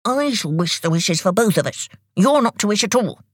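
A woman voicing a bossy, sulky, quick-tempered young brother in a children's storybook. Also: medium pitch, animated, husky, no room sound, studio quality, voice pushed up.

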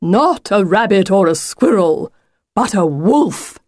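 A woman's voice: mid-range voice, very animated, takes it slowly, a little mellow, no echo, clean studio sound. A woman voicing a pompous, insistent male storybook wolf with a grandiose, wheedling, theatrical manner. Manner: grandiose, emphatic, wheedling old-fashioned phrasing.

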